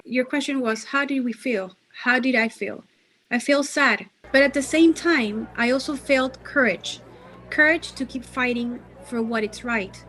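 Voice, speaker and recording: Higher voiced woman, talks really slow and deliberate, emphatic interview answer. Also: a bit bright, faint music behind, rough recording.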